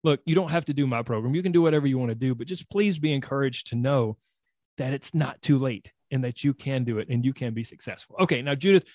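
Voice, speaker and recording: A man's voice: mid-range voice, fast, a little mellow, no echo. A man hosting an interview, enthusiastic and encouraging, rambling a bit with restarts. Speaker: host. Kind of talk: interview, coaching podcast.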